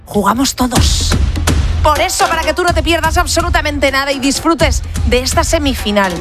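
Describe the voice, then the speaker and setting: High-voiced Spanish woman, radio advert. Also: moderate pace, over music. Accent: Spanish (Spain). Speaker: advert voice-over.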